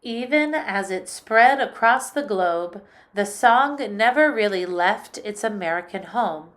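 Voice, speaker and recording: A woman music teacher reading a picture book aloud in a slow, clear storytelling manner. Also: mid-range voice, lively intonation, a bit bright.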